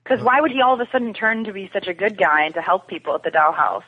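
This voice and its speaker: Woman on a podcast, kinda low voice, muffled phone-like sound, casual opinionated tv chat. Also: expressive, fast talker, slightly rough. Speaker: podcast guest. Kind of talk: casual TV discussion. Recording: dull phone-like lo-fi connection.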